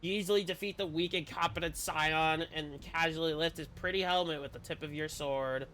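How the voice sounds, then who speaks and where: A man's voice: a little high, fairly even intonation, fairly quick, bright, forceful tone, slight background noise. A man streaming a game, reading the story text aloud with casual commentary and the odd stumble over words.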